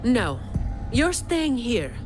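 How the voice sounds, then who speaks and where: An actress playing a cool, commanding fighter in a video game, assertive and shrewd. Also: female voice, a little high, very animated, music in the background, heavily acted. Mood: resolute. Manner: assertive, deliberate.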